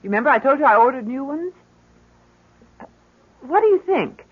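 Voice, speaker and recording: A woman's voice: high-pitched, expressive, normal speed. An actress playing an anxious, doting middle-aged mother in an old radio drama, hesitant and fretful as she coaxes. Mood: anxious coaxing. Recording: dull, hissy vintage radio recording.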